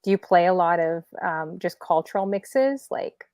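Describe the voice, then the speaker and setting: Low-voiced woman hosting a casual talk show. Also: lively intonation, normal speed, a little mellow, no echo, clean studio sound. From Toronto, Canada. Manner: friendly, chatty, loose questions full of fillers. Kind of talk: casual interview chat.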